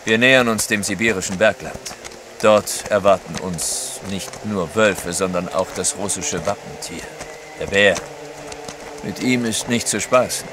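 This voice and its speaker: An actor playing a bold Russian courier in disguise, decisive and commanding, in an audio drama. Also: male voice, low voice, measured pace, background noise. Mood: grave. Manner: decisive, commanding, sure of himself. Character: bold, resolute Russian courier posing as merchant guide. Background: dramatic music.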